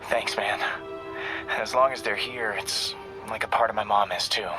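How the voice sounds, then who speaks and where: An actor playing an earnest young man asking his friend for a favor, a little hushed and halting. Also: lowish voice, fairly even intonation, music in the background, close to an everyday voice. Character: young man, worried friend leaving a message.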